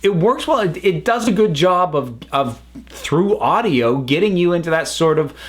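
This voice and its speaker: A man with a slightly high voice talks as an online reviewer. His pitch moves around a great deal as he chats. The delivery is unscripted and enthusiastic, drifting into asides as he goes. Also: lively intonation, moderate pace. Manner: chatty, enthusiastic, digressive.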